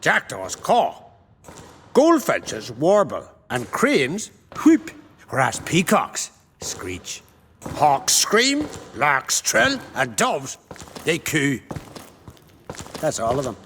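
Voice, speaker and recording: A simple game guard in a fairly high, echoing voice. He recites haltingly and very slowly, in an earnest singsong, with long hall reverb around him. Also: wildly swooping pitch, fairly bright tone, exaggerated voice. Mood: earnest, reciting. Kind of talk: video game character acting. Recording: hall reverb, faint noise.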